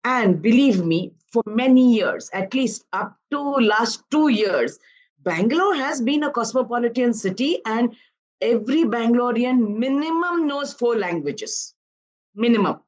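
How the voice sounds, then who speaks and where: A woman in her fifties from Karnataka, an activist guest making an earnest, measured argument on a live news panel. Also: a little high, lively intonation, darkish tone, dull audio. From Karnataka, India.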